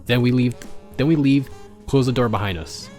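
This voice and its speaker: A man's voice: medium pitch, moderate pace, darkish tone, faint music behind, close to an everyday voice. Man voicing an anxious, urgent pony adventurer. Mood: urgent, self-scolding.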